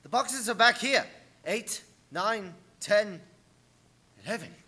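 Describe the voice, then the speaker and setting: An actor playing an earnest, tense young husband in a radio play, reporting in clipped dramatic diary style. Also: male voice, high-pitched, lively intonation, slow pace, bright, forceful tone, breathy edge, heavily acted. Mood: alarmed. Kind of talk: live radio play acting.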